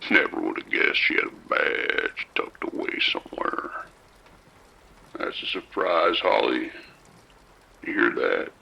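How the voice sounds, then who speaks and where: A man playing a calm, soft-spoken abductor in an audio drama, with a gravelly, whispery voice and a slow, coaxing manner. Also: a bass voice, a little hiss or hum, exaggerated voice, low-quality recording. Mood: musing.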